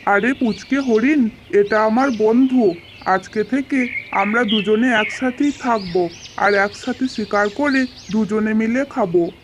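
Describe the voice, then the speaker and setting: A woman's voice: a little high, takes it slowly. A woman voicing a foolish, friendly old tiger, hungry, in a children's cartoon story. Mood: boastful. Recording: clearly noisy, birdsong behind. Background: birdsong and nature sounds.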